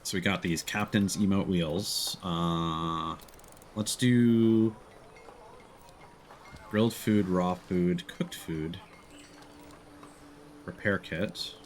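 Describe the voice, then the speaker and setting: A man's voice: deep voice, fairly even intonation, very slow, pauses often, a little hiss or hum. A man livestreaming casual gameplay commentary, thinking aloud in a playful way. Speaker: live streamer.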